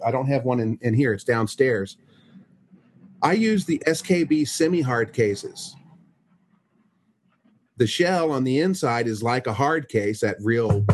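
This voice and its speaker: Husky podcast host man, rambling. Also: mid-range voice. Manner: rambling, friendly, with restarts. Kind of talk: casual chat.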